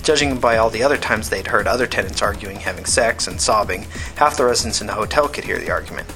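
A man reading fiction as a podcast narrator, in a measured, matter-of-fact scripted audiobook style. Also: lowish voice, pushed and bright, faint music behind.

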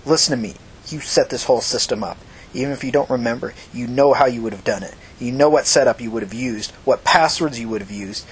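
A man's voice: medium pitch, quick pace, breathy edge, low-quality recording. A man voicing a vengeful, foul-mouthed young woman who threatens and commands in short emphatic bursts in an audiobook story. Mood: threatening, insistent.

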